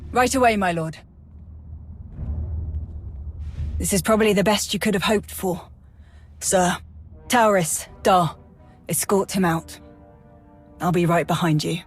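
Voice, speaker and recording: An actress playing a loyal, frank Imperial major in a video game, speaking earnestly with military formality. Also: female voice, on the low side, moderate pace, long pauses, faint music behind, close to an everyday voice. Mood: brisk, dutiful. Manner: earnest, crisp military address. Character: dutiful, sincere female Imperial army major, loyal and frank. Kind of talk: dramatic video game acting.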